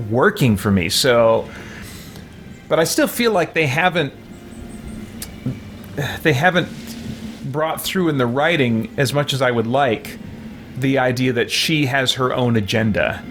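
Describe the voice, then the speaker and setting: Slow, reflective male gamer. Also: mid-range voice, noisy background. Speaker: gamer, roleplay host. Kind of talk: casual game commentary. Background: faint game music.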